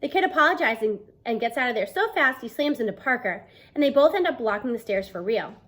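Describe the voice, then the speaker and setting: A woman in her thirties reading a children's novel aloud as an expressive narrator, recorded at home on a device mic. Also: fairly high pitch, quick pace. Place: small room at home, indoors.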